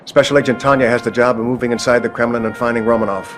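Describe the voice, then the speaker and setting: An actor playing a resolute American statesman in a game cutscene, speaking gravely like an orator over music. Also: mid-range voice, fairly even intonation, racing, natural voice. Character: solemn American statesman, resolute wartime leader. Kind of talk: video game cutscene acting.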